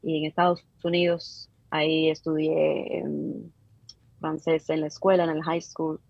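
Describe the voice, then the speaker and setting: Dominican woman, 30s, podcast guest, hesitant and chatty, low husky voice. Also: little pitch movement, a little slow, darkish tone, dry recording, muffled recording. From Dominican Republic. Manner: hesitant, many fillers and restarts. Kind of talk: casual interview.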